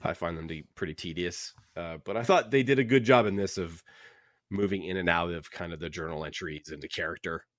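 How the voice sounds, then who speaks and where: A man's voice: deep voice, a little fast, dry recording, clean studio sound. A man co-hosting a casual book discussion podcast, rambling and restarting his sentences as he thinks aloud. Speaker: podcast host.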